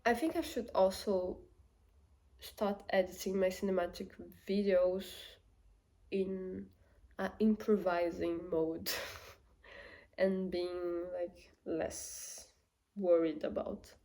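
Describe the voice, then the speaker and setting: Slow-talking woman with a Portuguese accent. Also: lowish voice, steady tone, mellow. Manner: unhurried, thinks aloud with many fillers and restarts. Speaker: vlogger. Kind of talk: casual reflective talk to camera.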